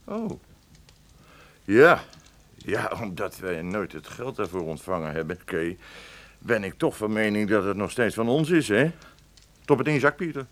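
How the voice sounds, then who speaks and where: A falsely friendly, smug smuggler from a radio play. His voice sits fairly low and swings animatedly, patronising whoever he addresses. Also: lively intonation, measured pace, pauses often. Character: smooth-talking, smug male drug smuggler, falsely friendly. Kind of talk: Dutch radio play acting.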